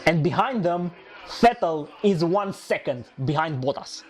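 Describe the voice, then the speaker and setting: A man reacting live to a motor race, excitable and repeating himself, his voice hoarse and nearly gone from shouting. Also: on the high side, measured pace.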